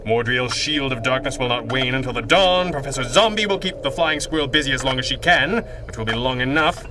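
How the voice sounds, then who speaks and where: An actor playing a confident, wry masked crime-fighter in a pulp radio drama, measured and dramatic. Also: male voice, mid-range voice, animated, noisy background, natural voice. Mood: grave, deliberate.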